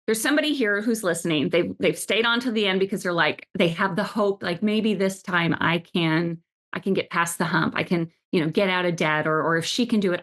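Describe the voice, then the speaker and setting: A woman's voice: fairly low pitch, fairly bright tone. Effusive woman podcast host. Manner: effusive, gushing praise, frequent restarts. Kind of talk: enthusiastic interview chat.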